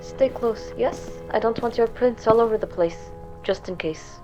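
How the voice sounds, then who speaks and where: A woman playing a fastidious, exasperated investigator in a narrative audio drama, giving orders and musing aloud to herself. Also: on the high side, highs cut off, over music, close to an everyday voice. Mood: commanding.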